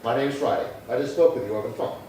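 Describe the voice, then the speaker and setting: A man playing a calm, dutiful police sergeant in an old-time radio crime drama, speaking in a clipped, matter-of-fact, polite way. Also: medium pitch, very fast, natural voice. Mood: polite, probing. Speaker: actor. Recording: echoey room from far off, faint noise.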